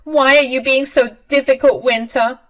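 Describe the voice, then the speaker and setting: A woman doing a shrill, begging older mother. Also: animated, unhurried, fairly bright tone. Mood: pleading. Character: pleading, desperate older mother-in-law woman.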